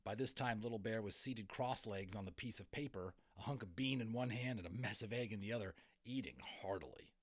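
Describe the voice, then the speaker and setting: A man reading a storybook aloud as a dramatic, expressive narrator. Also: fairly low pitch, brisk, hardly any pauses. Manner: expressive, theatrical. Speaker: audiobook narrator.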